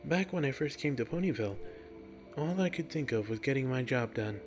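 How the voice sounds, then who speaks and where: A man's voice: mid-range voice, expressive, fairly quick, faint music behind, voice pushed up, close to an everyday voice. A man voicing a friendly, curious young bookish woman in a story reading, given to puzzled questions. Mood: warm, coaxing. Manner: thinks aloud in puzzled questions.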